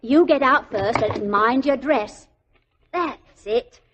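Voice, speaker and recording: High-pitched, bossy English mother. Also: highs cut off, background noise. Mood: bossy, impatient. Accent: working-class English. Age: middle-aged. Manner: brisk, chivvying. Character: bossy, brisk working-class mother, middle-aged, no-nonsense. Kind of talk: 1940s radio drama acting.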